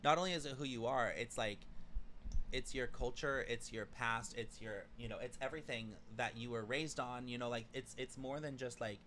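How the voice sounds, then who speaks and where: A man's voice: medium pitch, moderate pace, a bit bright, a little hiss or hum. A man hosting a podcast, eager and talkative, with frequent "yeah" and "like" as he chats with his guest. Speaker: podcast host. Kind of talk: casual interview chat.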